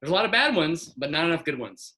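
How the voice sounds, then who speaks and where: A man in his sixties speaks over a video call with a bright, forceful voice. He sits a little higher than most men and sounds earnest and encouraging. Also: very animated, fast. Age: 60s. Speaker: author guest. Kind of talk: casual video call chat.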